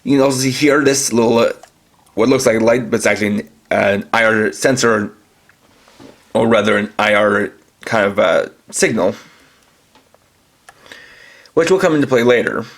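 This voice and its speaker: Man calmly explaining a toy, step by step. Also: on the low side, moderate pace, long pauses. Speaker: toy reviewer. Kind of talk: toy review demonstration.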